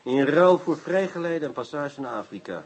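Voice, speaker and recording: An actor playing a brisk, wry police inspector in a radio play, businesslike and quick to interject. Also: male voice, on the high side, animated, husky. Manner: businesslike, repeats himself, interjects.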